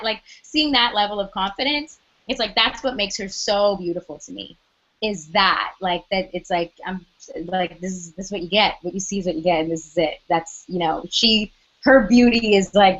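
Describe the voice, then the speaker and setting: A young adult woman with a loud speaking voice, chatting animatedly as an interview guest, full of "like" and quoted asides. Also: mid-range voice, normal speed, dull audio. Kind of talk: casual interview chat.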